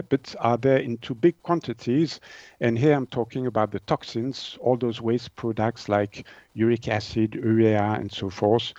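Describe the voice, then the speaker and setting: A man's voice: medium pitch, a little mellow, dry recording, highs cut off. A man in his sixties from Switzerland, a native French speaker with a Swiss French accent, answering interview questions conversationally and hesitantly, searching for words. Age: 60s. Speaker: naturopath and author being interviewed.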